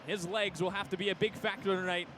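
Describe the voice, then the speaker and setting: A man's voice: high-pitched, little pitch movement, fast. A man giving conversational, explanatory football analysis between plays as a colour commentator. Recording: noisy stadium background.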